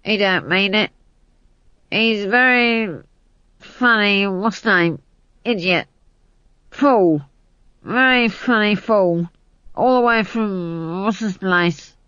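A woman's voice: medium pitch, pushed and bright, clean tone, dry recording, voice pushed up. A woman voicing a drunk, cheeky young man who slurs, rambles and gropes for words. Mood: drunken. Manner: slurred, halting, searching for words. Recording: slightly dull, dry recording.

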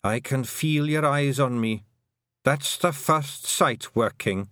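A man's voice: medium pitch, slow, a bit bright, no echo, voice pushed up. A man voicing a tiny, dying old fairy clan mother, bossy and shrewd, in a lilting rural brogue. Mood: weary, wry. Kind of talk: audiobook character voice.

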